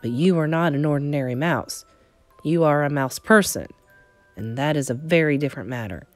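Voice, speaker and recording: A tender grandmother in her eighties from a children's storybook, acted out by a woman. She speaks low, in a measured and gentle way, frank about hard things. Soft music sits faintly behind her. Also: no room sound, close to an everyday voice. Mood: frank, reassuring. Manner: measured, gentle, matter-of-fact.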